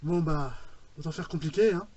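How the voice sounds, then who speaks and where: A man's voice: a little high, moderate pace, muffled recording, over music. A man giving casual video commentary, thinking aloud informally and repeating himself. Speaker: reaction video host. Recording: roomy, echoey sound.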